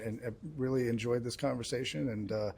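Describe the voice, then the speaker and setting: A man's voice: mid-range voice, steady tone, brisk, creaky, a little mellow, slightly rough. A man conducting a conversational interview, phrasing his thoughts in a hesitant, considered way.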